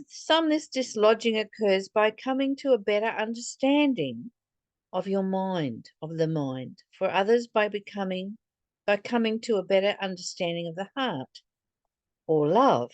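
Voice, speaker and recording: A woman reading a spiritual text and commenting on it reflectively, in a deliberate, earnest manner, like a teacher addressing the listener. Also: mid-range voice, dry recording. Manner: deliberate, earnest, posing rhetorical questions to the listener.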